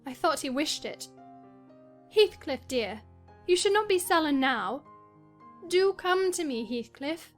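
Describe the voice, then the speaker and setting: A woman playing a desperate, dying young woman in a dramatized audiobook, pleading and passionate in a slow, sobbing delivery. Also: high-pitched, expressive, soft background music.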